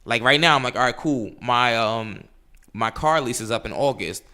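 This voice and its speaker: A man in his late twenties co-hosting a casual podcast chat, animated and swearing freely as he acts out his own thoughts. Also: mid-range voice, normal speed. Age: late 20s. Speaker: podcast co-host. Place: New York City.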